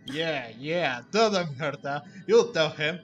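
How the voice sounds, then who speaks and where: A man's voice: fairly high pitch, expressive, slow, muffled recording. A man giving casual commentary while playing a game, thinking aloud as he goes. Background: game music.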